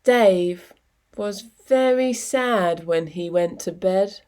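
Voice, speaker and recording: A woman with a medium-pitched voice reads a picture book to young children. She takes it very slowly, leaving dramatic pauses between short phrases. Her delivery is expressive and deliberate, in the manner of storytime. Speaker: storytime reader.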